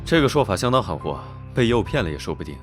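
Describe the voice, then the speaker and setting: Deep, quick-talking, teasing young duke, voiced by a man for an animated drama; background music. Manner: teasing, drawling and self-assured. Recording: background music under dialogue.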